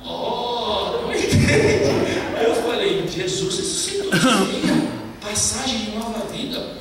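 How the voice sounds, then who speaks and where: Animated preacher in an echoey hall. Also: male voice, very high-pitched, takes it slowly, a loud crowd. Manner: animated storytelling, mimics broken Portuguese. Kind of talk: lively testimony sermon. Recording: echoey hall, distant mic, background noise.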